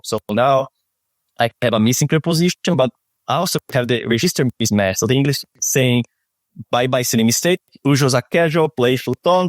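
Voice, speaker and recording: A man in his thirties with a Brazilian Portuguese accent, a native speaker of Portuguese, walks through a software demo on a video call. He often restarts and repeats words. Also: medium pitch, no echo. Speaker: product specialist guest. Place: office with shelves. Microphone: webcam on video call.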